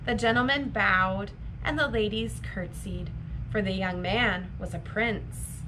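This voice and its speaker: Female storytime reader, unhurried and deliberate, mid-pitched, expressive for young listeners, with clear background noise. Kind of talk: expressive storybook reading for children.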